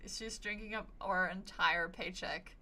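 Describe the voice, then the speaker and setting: A woman's voice: lowish voice, a little slow, very noisy. A woman livestreaming a video game with casual, wry, sweary reactions, sounding tired. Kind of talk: casual reactive gameplay commentary. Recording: game music behind voice.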